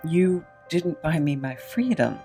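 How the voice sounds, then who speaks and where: Weary captive woman, played by an actress, distrustful and halting, very slow pace, audio drama, slightly muffled with faint music. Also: low voice, lively intonation. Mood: distrustful, protesting. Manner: halting, guarded, questioning.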